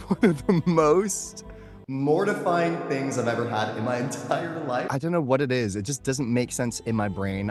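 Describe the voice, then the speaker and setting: A man chatting casually as an interview guest, effusive and enthusiastic in how he talks. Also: mid-range voice. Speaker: guest, voice and screen actor. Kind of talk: casual chat and anecdote.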